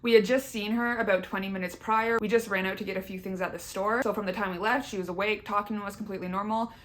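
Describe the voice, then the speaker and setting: A woman's voice: mid-range voice, steady tone, quick pace. Chatty young Canadian woman vlogger. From Southern Ontario, Canada. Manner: chatty, candid, emphatic with repeated words. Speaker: YouTuber. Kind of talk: casual personal update to camera.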